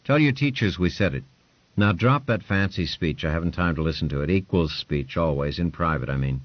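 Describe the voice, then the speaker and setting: A man's voice: low voice, a little fast, dry recording, dull audio. A man voicing a domineering male lord who talks in curt, commanding orders.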